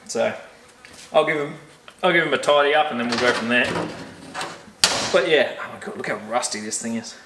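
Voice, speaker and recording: A man's voice: medium pitch, brisk, pauses often, noisy background. A man, casually talking while he works on a car in a garage, rambling and correcting himself as he goes. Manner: rambling, self-correcting, offhand. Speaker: car restoration vlogger.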